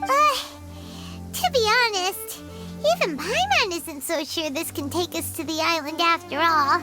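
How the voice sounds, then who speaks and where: A woman voicing a tiny, excitable fairy girl sidekick with a chirpy manner in an anime game. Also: extremely high pitch, a little slow, over loud music. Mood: doubtful. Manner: chirpy, refers to self in third person.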